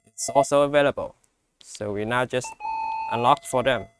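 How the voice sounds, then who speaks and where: A man who speaks English as a second language gives an unscripted product demonstration, explaining step by step in a halting way. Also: medium pitch, heavy background noise. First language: not English. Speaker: product presenter.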